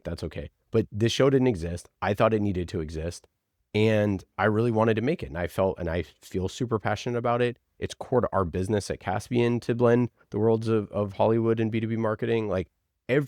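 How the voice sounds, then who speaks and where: A man with a low voice talks casually as a podcast guest. He is passionate and emphatic, often posing rhetorical questions to press a point. The sound is dry, with very little room in it. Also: a little mellow, no echo. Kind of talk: casual conversational interview talk.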